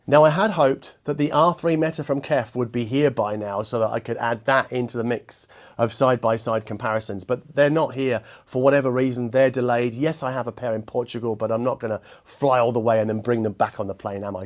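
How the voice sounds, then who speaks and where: A man with a mid-pitched voice presents an equipment review. He speaks in a measured, articulate way and chooses his words with precision, addressing viewers as the host. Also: a little mellow. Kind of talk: spoken product review to viewers.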